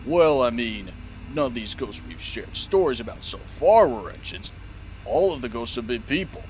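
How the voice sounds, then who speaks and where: A man playing a chatty, excitable talking engine in an audio drama, with an expressive, marvelling way of telling things. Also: mid-range voice, normal speed, slight background noise, natural voice. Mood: explaining. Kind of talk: audio drama character acting. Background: music, night insects.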